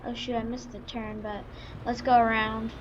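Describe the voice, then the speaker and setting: A woman doing casual, halting roleplay commentary over a farming game, excitable and given to exclaiming. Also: fairly high pitch, lo-fi sound. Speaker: gaming video creator. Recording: noisy, with vehicle engine sounds.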